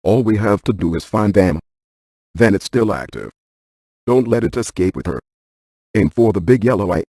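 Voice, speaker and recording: A man playing a brainy, stiff ghost-hunting scientist in a video game, speaking in a precise, clinical deadpan. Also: on the low side, moderate pace, husky, no echo. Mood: urgent, clipped.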